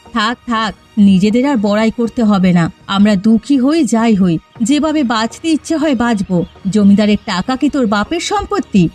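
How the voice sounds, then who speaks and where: A woman's voice: on the high side, lively intonation, moderate pace, a little mellow. Bitter, combative village neighbour woman voiced by an actress. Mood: scornful, threatening. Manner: sneering, combative. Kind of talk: animated cartoon dialogue. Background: background music.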